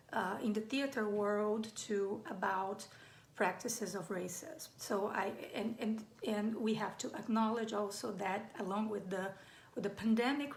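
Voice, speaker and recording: A woman in her forties from Brazil, with a Brazilian Portuguese accent, giving a live online talk as a playwright and often pausing on fillers and restarts. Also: medium pitch, creaky. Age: 40s. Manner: hesitant, many fillers and restarts. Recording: roomy echo.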